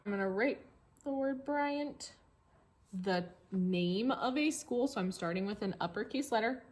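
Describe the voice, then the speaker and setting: A woman's voice: medium pitch, unhurried. A woman with an American accent teaching young children, explaining simply with repetition and playful, exaggerated questions. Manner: animated, exaggerated surprise and rhetorical questions for children. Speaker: elementary school teacher. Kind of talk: read-along tracing lesson for young children.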